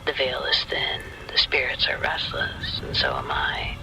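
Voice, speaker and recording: A man voicing an eerie old woman in a horror story, with a low, guttural, rasping whisper and a slow, menacing manner. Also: big pitch swings, music in the background, rough recording. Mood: haunting.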